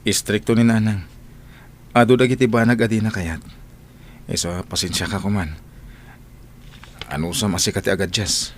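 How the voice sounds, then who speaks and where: A man's voice: on the low side, steady tone, normal speed, pauses often, slight background noise, lightly acted. An actor playing a dutiful young man in a radio drama, earnest and placating in manner. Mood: placating, reassuring. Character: dutiful young man, obedient to his mother.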